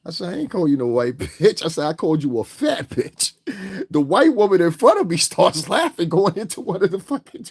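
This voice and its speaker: A man's voice: breathy edge, no room sound, clean studio sound. A man tells a story in a casual rant and acts out the other people's lines in it. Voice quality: deep voice. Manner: animated, acts out others' lines with big pitch jumps. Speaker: vlogger. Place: home in Connecticut.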